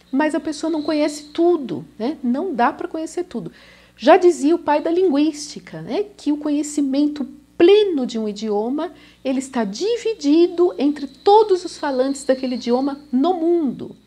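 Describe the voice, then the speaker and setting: A woman's voice: high voice, darkish tone. A Brazilian woman with a São Paulo accent, a language teacher talking casually to camera, often ending phrases with a questioning tag. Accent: São Paulo Brazilian Portuguese. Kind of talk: casual video lesson to camera.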